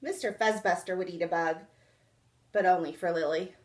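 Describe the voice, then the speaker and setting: A young woman reading a children's storybook aloud indoors in an expressive, dramatic storytime style. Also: fairly low pitch, normal speed. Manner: animated, dramatic. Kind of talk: expressive picture-book read-aloud. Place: small indoor room.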